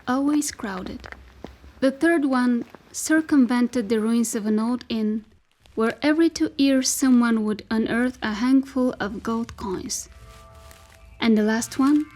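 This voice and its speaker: A woman performing as the storyteller of a dystopian folk tale, reading scripted literary narration in a measured, descriptive way. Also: a little high, darkish tone, a little hiss or hum, natural voice, poor audio.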